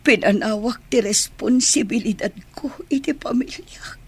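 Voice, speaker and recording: A woman playing an ailing, remorseful mother in a radio drama, with a weak, breathy, husky voice and an apologetic manner. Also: on the high side, moderate pace. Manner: frail, apologetic. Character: ailing, remorseful mother who left her family.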